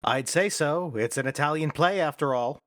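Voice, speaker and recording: An actor playing a pompous, bossy and exasperated theater manager in a radio play, declaiming theatrically. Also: male voice, fairly high pitch, normal speed, fairly bright tone, no echo, clean studio sound, close to an everyday voice. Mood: exasperated, lecturing.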